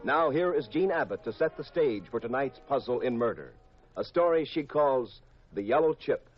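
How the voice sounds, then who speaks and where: A man's voice: medium pitch, lively intonation, normal speed. A man with an American accent reading scripted 1950s radio announcements as the show's announcer. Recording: dull old radio recording with music.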